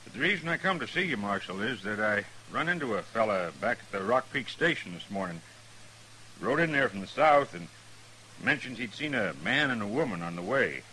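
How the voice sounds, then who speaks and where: A plain-spoken stagecoach driver of the Old West. His rural American voice is very sharp and forceful, earnest and direct. It is an old radio western with faint hiss. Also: fairly low pitch, animated, brisk, poor audio. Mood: plain, matter-of-fact. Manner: rustic, direct. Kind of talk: 1950s radio western acting.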